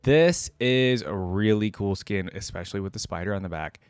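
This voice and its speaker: A man's voice: lowish voice, moderate pace, clean tone, no room sound, soft background music. A man hosting a reaction video, commenting casually and thinking aloud in an informal way as he goes.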